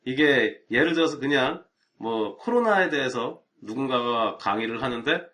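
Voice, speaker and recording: Middle-aged man, IT instructor, casual live-stream chat, relaxed and chatty, medium pitch. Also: moderate pace. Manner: relaxed, chatty, rhetorical asides. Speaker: IT networking instructor.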